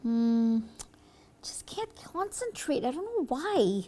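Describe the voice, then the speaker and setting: A woman with a fairly high voice presents a children's puppet show. Her intonation swings widely and expressively, while her wording stays chatty and plain, as in acted dialogue. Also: big pitch swings, takes it slowly, warm tone.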